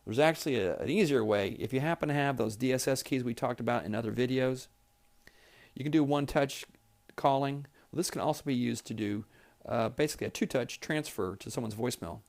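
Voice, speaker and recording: A man's voice: mid-range voice, brisk, gravelly, a little mellow. A man giving a step-by-step, plain-spoken instructional tutorial as a trainer demonstrating office equipment.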